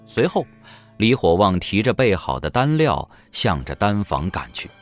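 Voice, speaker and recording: A man's voice: deep voice, sing-song, unhurried, soft background music. A man reading scripted, dramatic narration for a fantasy audio drama.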